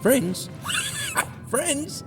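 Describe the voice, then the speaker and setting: A man's voice: extremely high pitch, big pitch swings, drawn-out words, exaggerated voice. Guy doing a grumbly sarcastic space delivery man, theatrical and exasperated, audio drama with music behind. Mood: incredulous, cynical. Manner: grumbling, sardonic, theatrical sighing. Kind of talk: comedy audio drama acting.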